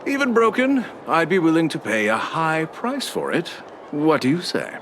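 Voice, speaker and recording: A man's voice: a little high, huge pitch swings, normal speed, background noise. An actor playing a crafty middle-aged male antiques appraiser in a video game, haggling in a wheedling manner. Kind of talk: English video game voice acting.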